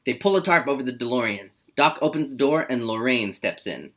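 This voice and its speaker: A man's voice: medium pitch, expressive, brisk, fairly bright tone. A man hosting a table read and narrating the screenplay's stage directions.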